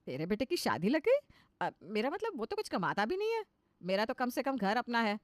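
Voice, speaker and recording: Casting: woman playing fretful middle-aged cartoon mum with modern airs, emphatic, chatty, high pitch, dry recording. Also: huge pitch swings, brisk, no echo. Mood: surprised. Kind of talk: cartoon moral story voice.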